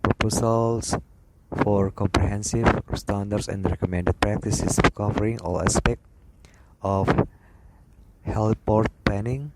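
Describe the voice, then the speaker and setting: Slow, halting man over loud noise. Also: lowish voice, steady tone. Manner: halting, broken phrasing with stumbles over words. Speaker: instructor reading aviation regulations. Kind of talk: read-aloud scripted lesson narration. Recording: loud background noise with clicks.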